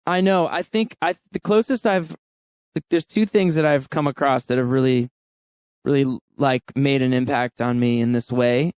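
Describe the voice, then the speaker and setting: A man's voice: mid-range voice, normal speed, darkish tone, clean tone, no echo, studio quality. A man in his thirties, a musician guest talking candidly in a casual interview and laughing often. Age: 30s.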